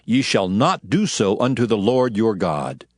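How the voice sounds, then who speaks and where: Commanding prophet, lowish male voice, widely swinging pitch, emphatic, declamatory, stern, archaic scripture drama, dry close sound. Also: big pitch swings, a little slow, no echo. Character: stern, commanding prophet delivering divine law. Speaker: actor in dramatized audio Bible.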